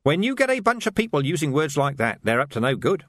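A man's voice: on the high side, very animated, fast talker, fairly bright tone, no room sound, studio quality, pitched above the performer's own voice. A man voicing a sneering, menacing male villain who bursts into manic rage.

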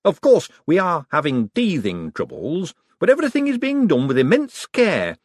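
A man voicing a nervous, excitable male economist who stammers and gets flustered. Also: fairly high pitch, normal speed, dry recording, clean studio sound, pitched above the performer's own voice, heavily acted.

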